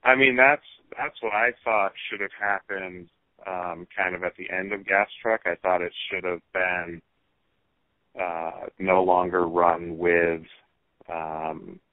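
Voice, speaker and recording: Low-voiced man on a tinny phone line. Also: steady tone, takes it slowly, no echo. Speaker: RC car racer being interviewed. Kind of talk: casual phone interview about hobby racing. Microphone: telephone.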